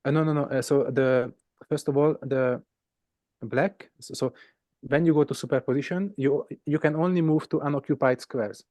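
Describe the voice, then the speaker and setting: A man's voice: mid-range voice, steady tone, moderate pace, darkish tone, no room sound, clean studio sound. A man with a Hungarian accent, a native Hungarian speaker, patiently explaining game puzzles informally and rephrasing as he goes. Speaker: game teacher and presenter.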